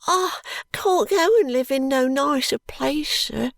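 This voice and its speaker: A reader voicing a destitute young street boy who cries out high and pleading. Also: takes it slowly, vocal fry, a bit bright, no room sound, clean studio sound, exaggerated voice.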